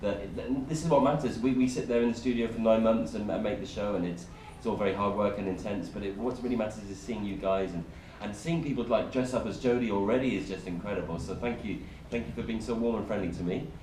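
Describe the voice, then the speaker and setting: A man's voice: fairly low pitch, quick pace, hardly any pauses, a little mellow. A man speaking on a convention panel, chatty and self-deprecating, often laughing. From United Kingdom. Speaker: panelist working on the show. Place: large convention hall. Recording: echoey hall, far mic, crowd noise.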